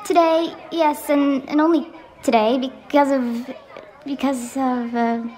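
Woman as a meek, muddled, high-voiced man. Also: steady tone, slow, a little mellow, a faint crowd, a little hiss or hum. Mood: defensive. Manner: hesitant, defensive. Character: meek, muddled, hard-drinking man, mildly apologetic. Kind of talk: radio play acting.

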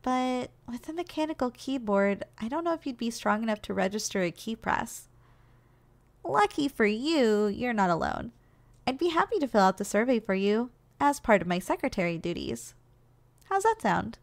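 A woman playing a doting, gentle giantess secretary in an ASMR roleplay, speaking in a caring, softly teasing, slightly hesitant way. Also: a little high, big pitch swings, normal speed. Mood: reassuring, businesslike.

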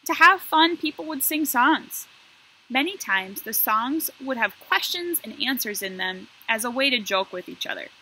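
A woman in her thirties talking to first graders as a guest story reader, explaining things simply and in an animated way. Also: a little high, moderate pace, a little hiss or hum, rough recording. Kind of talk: addressing young children, explanatory read-aloud intro. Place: outdoor covered patio.

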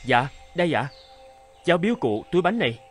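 A man's voice: a little high, big pitch swings, a little fast. An actor voicing a kind, polite young male traveller in a cartoon. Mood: courteous. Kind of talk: animated cartoon dubbing. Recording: music behind the voice.

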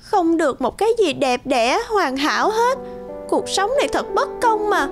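A woman voicing a self-loathing teenage schoolgirl in an animated tale, whiny and complaining. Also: very high voice, moderate pace, heavily acted. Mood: self-pitying. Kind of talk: animated moral tale monologue. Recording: music behind the voice.

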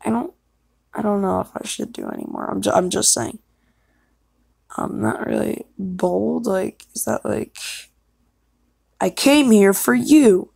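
A woman's voice: medium pitch, sing-song, a little slow, vocal fry, darkish tone, slightly rough, no room sound, clean studio sound. A young woman chatting casually and self-consciously, hesitant and apologetic as she records herself. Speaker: amateur voice actor recording an audition.

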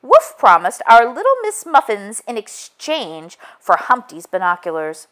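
A woman's voice: on the high side, huge pitch swings, measured pace, fairly bright tone, voice pushed up, heavily acted. Woman as an earnest, wisecracking storybook egg detective. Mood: explaining.

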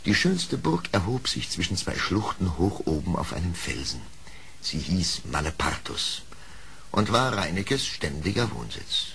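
A man's voice: sits low, very animated, measured pace, creaky, fairly bright tone, a little hiss or hum. A man narrating a children's radio play in a scripted storybook style.

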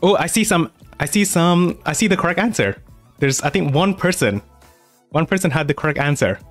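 A man's voice: fairly high pitch, expressive, brisk, faint music behind. A man hosting a live question-and-answer stream, talking casually with many fillers and restarts. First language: English. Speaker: art channel host.

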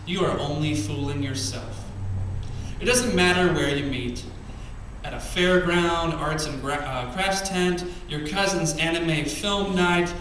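A man in his thirties performs his poems at a small cafe open mic, leaning hard into the rhythm with a bright, slightly high voice. Between pieces he drops into soft, offhand asides. The sound is caught by a distant camera, so the room rings heavily around him over a faint hum of ambience. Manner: emphatic, rhythmic poetry delivery with quiet asides between poems. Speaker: spoken word poet. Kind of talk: spoken word poetry reading. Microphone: stand mic, far camera. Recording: echoey venue, camera from far off.

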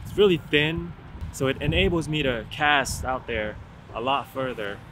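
A man's voice: mid-range voice, moderate pace. Young man, casual fishing vlog, outdoor pier, wind and traffic noise behind. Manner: excited, chatty, calls viewers "man" and "guys". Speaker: fishing vlogger. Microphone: camera-mounted shotgun mic.

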